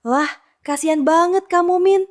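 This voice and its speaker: A woman's voice: very high voice, moderate pace. A woman voicing a boastful, gossiping village neighbor who teases and giggles in a showy way.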